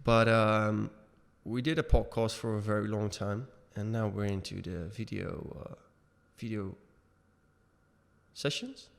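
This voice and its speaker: A man in his thirties co-hosting a casual podcast over a video call from a room at home, talking haltingly with many fillers and restarts. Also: on the low side, steady tone, gravelly, long reverb tail. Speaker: podcast co-host. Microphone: webcam video call.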